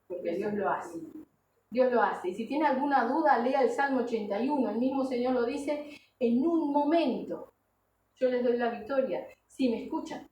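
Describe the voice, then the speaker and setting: Woman preacher, high voice, earnest exhortation, rhetorical questions, distant mic with faint noise. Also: a little mellow. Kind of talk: sermon to a congregation. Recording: echoey room, distant mic, background noise.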